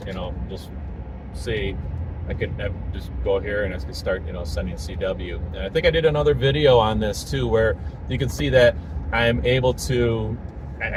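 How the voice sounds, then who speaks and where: A middle-aged man talking casually and haltingly in an informal, explanatory vlog, recorded while driving in a truck. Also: lowish voice. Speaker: amateur radio vlogger. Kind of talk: casual rambling vlog talk. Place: inside a truck driving in traffic. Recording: distant mic, clear vehicle and road noise. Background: traffic and vehicle noise.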